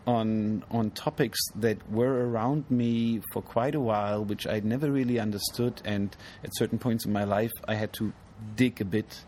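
A man's voice: on the low side, fairly even intonation, normal speed, few pauses, darkish tone. A man, an artist, explains his work in an interview, thoughtful and full of ums. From Germany. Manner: thoughtful, hesitant, many ums. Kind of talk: casual interview. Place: outdoors at an art fair. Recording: noisy outdoor recording.

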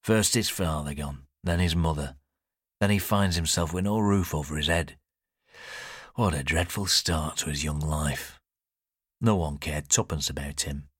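A man's voice: rumbling low, a little slow, husky, no echo, studio quality, exaggerated voice. A man voicing an older man in an audiobook, stern but kindly and reflective. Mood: indignant, pitying. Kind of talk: audiobook character dialogue.

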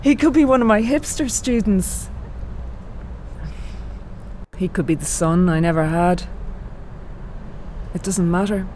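An actress playing a lovelorn, haunted middle-aged psychologist in a radio drama, halting and confessional. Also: female voice, mid-range voice, mellow, a little hiss or hum, natural voice. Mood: haunted, yearning.

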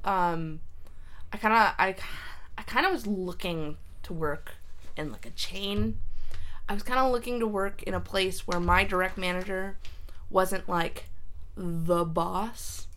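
A woman's voice: mid-range voice, measured pace, a bit bright, slight background noise. A woman born in Iceland, a guest in a casual interview chat, talking into a desk microphone with fillers and laughs. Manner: laughing, chatty, with lots of fillers.

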